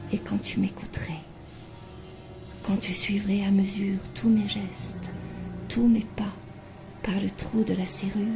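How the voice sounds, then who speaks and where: A woman reciting poetry expressively in a breathy voice that often drops near a whisper, with deliberate dramatic phrasing. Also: mid-range voice. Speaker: poetry reader. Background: music.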